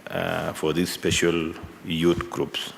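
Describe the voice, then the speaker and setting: A man questioning presenters at a parliamentary hearing, halting and probing, often restarting his sentences. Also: sits low, monotone, creaky. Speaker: committee member questioning presenters. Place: large hearing room. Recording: long hall reverb, faint room noise.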